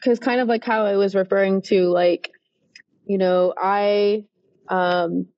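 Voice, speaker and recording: A woman who coordinates English learner programs, talking through classroom advice in a relaxed, thoughtful way with frequent restarts. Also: medium pitch, slow, dull audio. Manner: thoughtful, restarts often, many "um" and "right?". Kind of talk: casual podcast interview.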